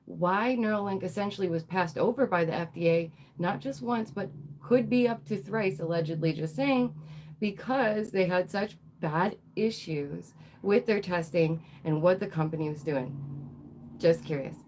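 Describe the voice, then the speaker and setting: A woman's voice: fairly low pitch, normal speed, a little mellow, slight background noise. A woman hosting a live news commentary stream, her voice nasal and stuffy as she gets over a cold. She talks emphatically, repeats phrases and fires off rhetorical questions. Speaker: livestream host.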